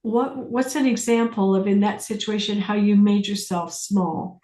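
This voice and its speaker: A woman facilitating a self-inquiry class, speaking deliberately with pauses, questions and phrases repeated for emphasis. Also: medium pitch, dark tone. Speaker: workshop facilitator leading a group class. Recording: roomy echo on the voice.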